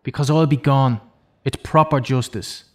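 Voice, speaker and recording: A man performs a self-loathing male convict in an audio drama. He is intense and persuasive, pressing his words deliberately and insistently in an ordinary mid-range voice. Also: mid-range voice, steady tone, lightly acted. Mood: bargaining, insistent. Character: remorseful imprisoned killer, intense and self-loathing.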